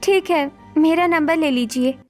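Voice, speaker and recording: Cartoon role: mischievous sharp-tongued younger sister voiced by a woman, very high pitch, music behind. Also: a little slow. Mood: friendly. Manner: pert, cheeky.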